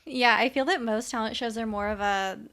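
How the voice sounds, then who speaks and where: A woman's voice: a little high, brisk, fairly bright tone. A woman co-hosting a TV recap podcast in a casual, chatty style. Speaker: podcast co-host.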